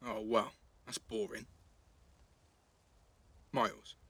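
A man's voice: lowish voice, flat delivery, slow, gravelly, a bit bright, breathy edge, no echo, full character voice. An actor playing a cheeky, blunt teenage boy with an English accent, teasing and slangy in a naturalistic audio drama. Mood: wry, probing.

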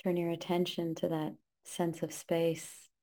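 Woman doing guided meditation, really slow n calm, lowish voice, pretty flat, short gentle phrases.

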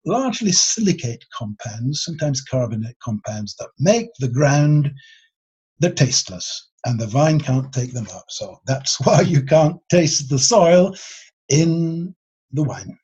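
A man explaining his subject informally as an expert being interviewed, in a husky voice. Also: on the high side, lively intonation, measured pace, a little mellow. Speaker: expert interviewee, geology author. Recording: dull, lo-fi sound.

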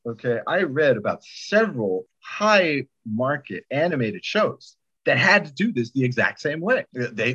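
Man, slightly husky voice, lively swooping pitch, emphatic rambling storyteller, casual interview chat. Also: highs cut off. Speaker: podcast producer and sound editor.

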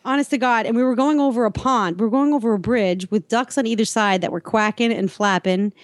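A woman's voice: fairly high pitch, a little fast, no echo. A woman with an American accent chatting casually as a podcast co-host. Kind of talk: casual chat.

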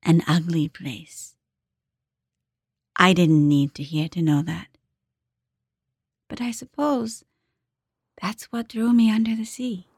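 A woman acting as a daughter voicing her deaf diver mother's story in a measured, intimate dramatic monologue with deliberate pauses. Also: lowish voice, fairly even intonation, darkish tone, breathy edge, no echo, studio quality, full character voice. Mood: haunted, reflective.

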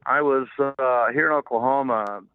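An older man with a hoarse, worn voice, talking in a halting, rambling way as an interview guest while driving. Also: mid-range voice, dry recording. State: hoarse from losing his voice. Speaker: guest, racehorse owner. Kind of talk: casual phone interview. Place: car, driving. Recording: tinny phone line, dry.

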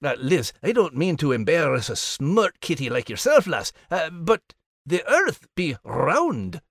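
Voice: mid-range voice, very animated, unhurried, dry recording, studio quality. A performer voicing a playful male animal co-host with a Scottish accent who teases with puns. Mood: teasing. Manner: teasing, joking, dialect words. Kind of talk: scripted podcast banter.

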